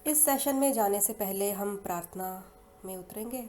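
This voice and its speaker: An Indian woman leading a guided hypnosis meditation in slow, soothing, unhurried phrases with long pauses between them. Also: medium pitch. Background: soft meditation music.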